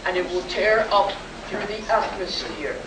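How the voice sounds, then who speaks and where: Woman as a solemn, slow radio-play announcer, distant and roomy. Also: medium pitch, lightly acted. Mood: dramatic, solemn. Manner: measured, portentous delivery. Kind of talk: 1950s-style radio drama narration. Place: convention hall, live stage performance. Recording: roomy, distant hall recording with noise.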